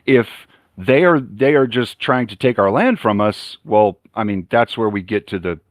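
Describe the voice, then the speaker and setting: A man's voice: lowish voice, lively intonation, moderate pace, dry recording, clean studio sound. A man on a panel making blunt, profane points. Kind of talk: heated panel discussion on a live stream.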